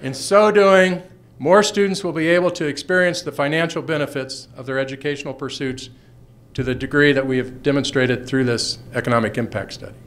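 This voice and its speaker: An older man of about sixty, a college official, delivering a prepared formal speech at a podium in a measured manner. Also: medium pitch, fairly bright tone. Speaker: college official, panel speaker. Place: indoor college meeting room. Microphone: podium gooseneck microphone.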